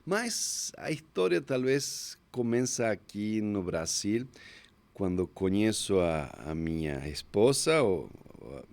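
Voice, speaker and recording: A man in his fifties from Córdoba, Argentina, with a strong Argentine Spanish accent, telling his life story as a podcast guest in a relaxed, reflective way. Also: on the low side, gravelly. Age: 50s. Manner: unhurried, reflective storyteller, often restarts with "então". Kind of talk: casual storytelling interview.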